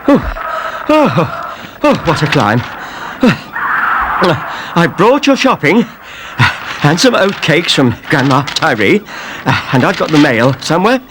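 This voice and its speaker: A man's voice: fairly high pitch, very animated, takes it slowly, pauses often, noisy background, voice pushed up. A man voicing a flustered, chatty shopkeeper who is out of breath and keeps saying "oh". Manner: breathless, fussing, full of "oh"s.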